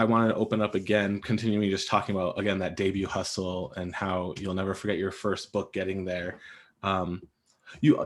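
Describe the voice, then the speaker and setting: A deep-voiced man moderates a literary panel. His delivery is casual and unscripted, wandering conversationally from one thought to the next. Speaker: host and moderator of author panel.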